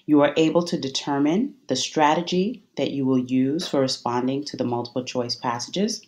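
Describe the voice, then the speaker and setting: A meditation guide giving soothing, measured instructions with deliberate pauses between phrases. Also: medium pitch, a little mellow.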